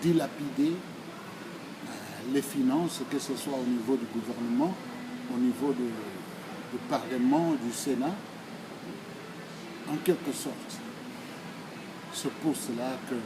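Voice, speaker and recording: A man in his sixties with a Congolese accent, giving formal answers in a deliberate, emphatic, oratorical way, phrase by phrase. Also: mid-range voice, background noise. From Democratic Republic of the Congo. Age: 60s. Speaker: speaker answering questions.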